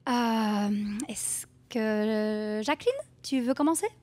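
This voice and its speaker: An actress playing a brisk, encouraging facilitator of a support group in a radio drama. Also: female voice, a little high, darkish tone. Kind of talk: naturalistic radio drama acting.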